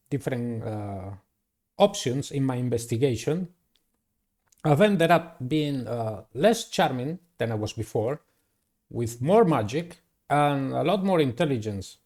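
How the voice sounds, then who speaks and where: A man hosting a gaming channel, giving halting, theatrical playthrough commentary and narration with formal wording. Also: mid-range voice, a little mellow. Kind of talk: playthrough commentary and read-aloud visual novel narration.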